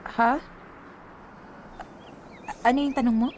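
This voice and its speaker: A woman's voice: on the high side, steady tone, takes it slowly, slight background noise. A woman playing a sweet, playful, superstitious young girlfriend in a Tagalog radio drama, with a Filipino accent. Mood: teasing surprise.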